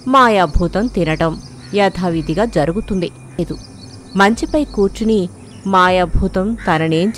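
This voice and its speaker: A woman narrator reading a scripted moral tale in storybook style. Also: mid-range voice.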